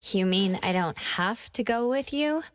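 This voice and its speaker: A woman's voice: medium pitch, expressive, a little slow, natural voice. An actress playing an earnest, inquisitive young woman investigator in an anime game, with a questioning manner. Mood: curious, earnest. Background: background music.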